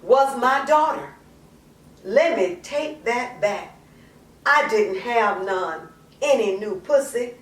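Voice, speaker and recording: A woman performing a dramatic monologue as a raw, confessional, crack-addicted mother, in halting, colloquial, emphatic speech. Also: a little high, a bit bright, exaggerated voice. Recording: roomy echo, distant microphone.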